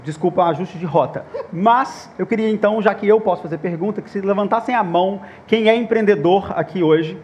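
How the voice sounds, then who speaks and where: High-voiced man moderating a panel. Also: a little fast, few pauses, dull audio. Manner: lively, uses many fillers and self-corrections. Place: conference stage.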